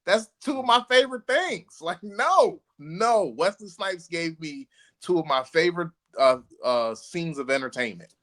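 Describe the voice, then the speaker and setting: A man's voice: fairly high pitch, animated, a little slow, pushed and bright. An American man co-hosting a casual podcast among friends, speaking emphatically and often repeating himself for effect. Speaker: podcast co-host.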